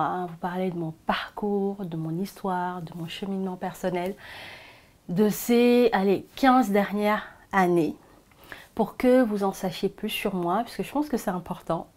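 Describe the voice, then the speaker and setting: A woman, sharing her personal story in a soft, hesitant way with frequent pauses. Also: mid-range voice. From Senegal. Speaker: vlogger. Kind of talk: personal confessional vlog.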